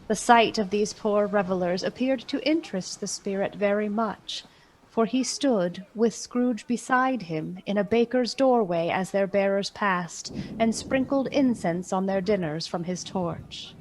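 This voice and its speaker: A woman narrator reading a scripted story for a radio play in a measured, theatrical storytelling manner. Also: mid-range voice, slight background noise, rough recording.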